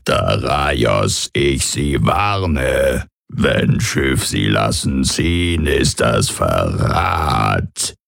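An extremely deep, gravel-throated alien warlord. He croaks out threats in halting, broken sentences, dragging every word very slowly, with the hostility of a science-fiction radio play villain. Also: very animated, fairly bright tone, no room sound, studio quality, heavily acted. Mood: stern warning. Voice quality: guttural, gravelly, belching croak. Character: hostile alien commander, croaking, menacing, slow-witted speech. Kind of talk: audio drama character acting.

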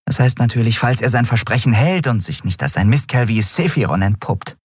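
A man's voice: medium pitch, expressive, brisk, breathy edge, no room sound, clean studio sound, voice pushed up. A man voicing a tiny winged female creature, playful, teasing and boastful, in an audiobook. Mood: gossipy, wry. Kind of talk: audiobook character voice.

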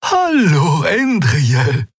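A man's voice: on the high side, sing-song, slow, slightly rough, no room sound, voice pushed up, heavily acted. A man voicing a good-humoured, caring female shipmate in an audiobook, cheerful with a dry wit.

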